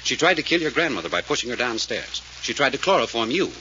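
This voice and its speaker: A brisk, decisive radio detective of the 1940s, grimly certain and authoritative. His delivery is fast and animated, with swooping pitch. The old recording is muffled, with some noise. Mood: firm, certain. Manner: firm, authoritative, explaining. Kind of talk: 1940s radio mystery acting.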